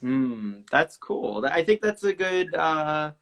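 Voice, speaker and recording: A man hosting an art interview, relaxed and appreciative, often repeating words as he gathers his thoughts. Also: a little high, slow. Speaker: host. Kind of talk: casual interview talk.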